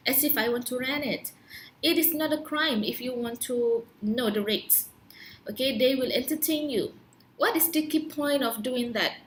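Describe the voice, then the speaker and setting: A woman's voice: a little high, a little hiss or hum. A young Malaysian woman, a native Malay speaker, talking as an English teacher in an earnest, instructive way and posing rhetorical questions. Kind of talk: prepared talk to camera.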